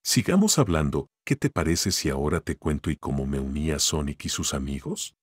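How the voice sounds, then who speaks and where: A man plays a tough cartoon echidna guardian with a low, husky voice. He speaks in simple, friendly phrases, as if on a phone call with a young fan. The sound is close and dry, and he comes across as a loyal hero. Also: animated, a little fast, no echo, exaggerated voice. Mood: friendly, inviting. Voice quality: deep, husky. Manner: friendly, addressing a child listener in simple phrases. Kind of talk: scripted one-sided character phone call.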